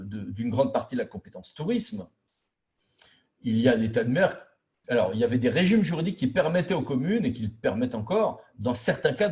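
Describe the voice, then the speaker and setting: A man speaks as a trainer in an informal lecture. His voice sits at a medium pitch. He explains things didactically in colloquial language and leans on key words for emphasis. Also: darkish tone. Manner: colloquial and didactic, acting out imagined quotes. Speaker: trainer lecturing local officials on intermunicipal law.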